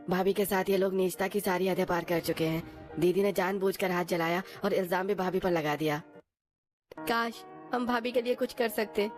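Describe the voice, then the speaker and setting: A woman's voice: fairly low pitch, darkish tone, voice pushed up, close to an everyday voice. A woman voicing an earnest young brother-in-law in a cartoon who defends his sister-in-law. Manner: earnest, pleading.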